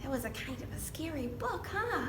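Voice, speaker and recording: A woman hosting a children's storytime, reading aloud in an animated, exaggerated way and prompting young listeners with questions. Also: sits high, normal speed. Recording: roomy echo with background noise.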